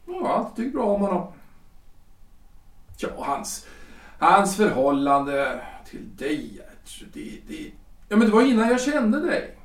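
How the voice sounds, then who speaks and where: An actor playing a self-important, flustered lawyer-politician husband in his forties in a period audio drama, halting and repeating himself. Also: high-pitched, very animated, recorded from a distance. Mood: flustered, blustering. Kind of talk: period stage-play audio drama acting. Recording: roomy echo, off the mic.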